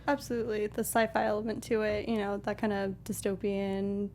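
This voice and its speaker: A woman's voice: medium pitch, monotone, brisk, darkish tone. Woman co-host chatting over some background noise. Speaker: co-host of a film review show. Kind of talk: casual film discussion.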